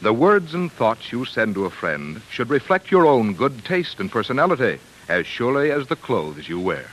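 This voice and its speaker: Old-time radio announcer guy, 1940s, very lively intonation, polished ad read. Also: medium pitch, moderate pace, rough recording. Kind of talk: 1940s radio scripted advertising narration.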